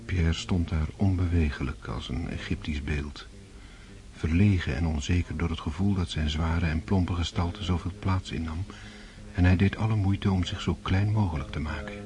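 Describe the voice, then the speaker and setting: Deep-voiced male radio drama narrator. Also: steady tone, darkish tone. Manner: composed, measured. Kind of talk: scripted literary narration. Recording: noisy old radio drama recording.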